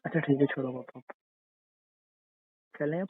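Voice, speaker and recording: A man's voice: a little high, normal speed, slightly rough, no echo. Man playing a teasing young husband, phone-like sound. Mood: playfully insistent. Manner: teasing, coaxing, repetitive insisting. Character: teasing, wheedling young husband, playfully sulky. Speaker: husband in a couple's call. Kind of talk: intimate casual couple banter. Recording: tinny narrow phone-call sound.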